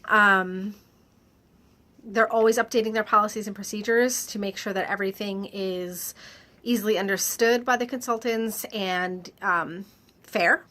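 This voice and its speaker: A woman with a stuffy, slightly nasal voice, reading out viewer questions and answering them in a chatty video, often pausing with "um". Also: medium pitch, steady tone, bright, forceful tone. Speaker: direct-sales clothing consultant. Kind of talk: casual Q&A vlog.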